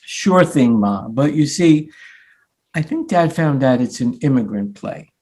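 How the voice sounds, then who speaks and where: A man playing an earnest, flustered sixteen-year-old playwright son in a staged reading, defensive and mock-modest, with a husky voice. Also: mid-range voice, expressive, measured pace, a little mellow. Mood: nervous, mock-modest. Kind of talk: live staged play reading.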